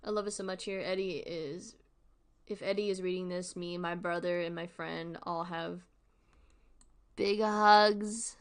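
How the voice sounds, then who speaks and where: A woman's voice: on the low side, fairly even intonation, a little slow. A woman hosting a livestream with casual, informal commentary, rambling and thinking aloud as she goes. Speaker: livestream host.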